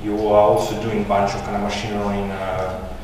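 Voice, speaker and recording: Halting man with a Russian native tongue, echoey hall. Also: lowish voice, flat delivery. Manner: hesitant, many fillers and restarts. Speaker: conference speaker. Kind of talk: tech conference talk. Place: large conference room. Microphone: handheld mic, far camera. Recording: echoey hall recorded from far off, noisy.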